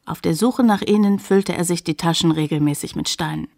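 A reflective woman narrator in a radio play. The voice sits a little low for a woman and has a marked creak. The delivery is measured storytelling, looking back thoughtfully. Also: a little mellow. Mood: calm narration.

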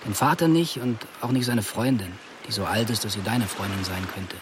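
Clear seaside noise surrounds a low male voice from an actor playing a young lover. He is earnest, protective and remorseful, with an intense, natural radio drama delivery. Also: normal speed, close to an everyday voice.

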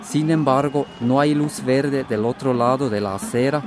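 An actor playing an earnest radio field reporter, delivering a news report in a radio drama. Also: male voice, medium pitch, moderate pace, over music, lightly acted. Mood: serious, reporting. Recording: crowd noise outdoors behind.

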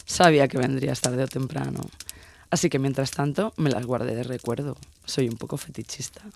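A man's voice: mid-range voice, fairly even intonation, fairly quick, vocal fry, darkish tone, slight background noise, natural voice. A man playing an intense, possessive sailor woman of twenty-six in an audio drama, speaking in an insistent, teasing way. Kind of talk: dramatised audio story dialogue.

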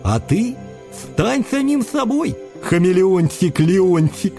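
A cheeky free spirit thief critter for a kids story, high gravelly voice, theatrical, drags words out. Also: male voice, sing-song, takes it slowly, mellow, soft background music, exaggerated voice. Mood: gentle, advising. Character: sly young male thief creature, honest, free-spirited.

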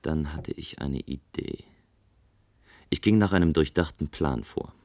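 A brooding inventor in a radio drama, with a very low voice recorded close and dry. He speaks deliberately and with cool detachment, as narrator and as character. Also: a little mellow, dry recording. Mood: brooding, matter-of-fact. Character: brooding male inventor, coolly destroying his own great tower. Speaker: narrator and lead actor. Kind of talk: German radio drama, first-person narration and dialogue.

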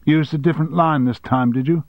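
A man voicing an indignant, sharp-tongued longtime woman secretary with cutting, sarcastic retorts, on a dry, slightly dull recording. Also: on the high side, steady tone, brisk, no room sound, pitched above the performer's own voice, close to an everyday voice.

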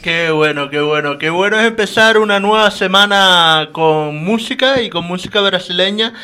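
A male radio host leading a studio interview, upbeat and quick with affirmations. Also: sits high, pushed and bright, a little hiss or hum. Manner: upbeat, frequent backchannel affirmations.

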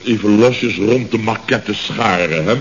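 Blustering middle-aged boss, exasperated, repetitive, radio comedy, muffled noisy old recording. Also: male voice, lowish voice, a little slow, gravelly. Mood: bossy, jovial. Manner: blustery, repeats himself, stammers when flustered. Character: blustering, exasperated male boss of a building firm. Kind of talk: radio comedy serial acting.

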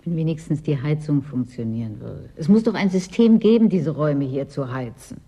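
A woman's voice: deep voice, animated, normal speed, warm tone, breathy edge, exaggerated voice. An actress in a German radio play playing a chilled, wistful woman who owns property in a frozen city, querulous and brooding. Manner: querulous, brooding, dwells on memories.

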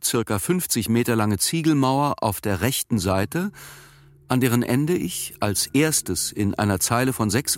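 An actor playing a thoughtful first-person narrator in a radio drama, telling his recollections in a measured, precise, sober way. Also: mid-range voice.

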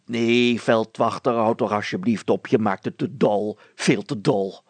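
A man's voice: fairly low pitch, fairly even intonation, measured pace, dry recording. A man voicing a fussy, officious village mayor in a story, blustering and easily exasperated. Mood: amused disbelief.

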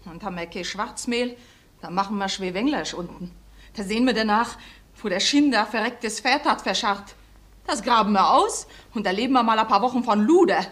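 An actress playing a defiant young weaver's wife in a radio play, sarcastic, with dialect colouring. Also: female voice, mid-range voice, moderate pace, a bit bright, close to an everyday voice. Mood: bitter. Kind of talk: German radio play acting.

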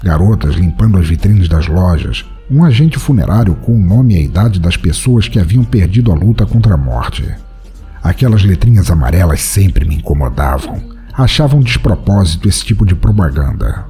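A man's voice: low voice, dark tone, slightly rough, heavily acted. A man playing a cynical, world-weary poet of twenty-nine, narrating a brooding, sardonic first-person audiodrama.

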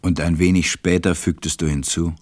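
A man playing a stranded pilot who narrates a radio play in a measured, reflective way. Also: sits low, level tone, no echo.

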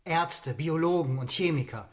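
An actor playing a persuasive, self-assured project leader in a radio drama, speaking in an earnest, declarative way. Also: male voice, a little high, moderate pace, natural voice. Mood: calm, decisive. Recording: echoey room, music behind.

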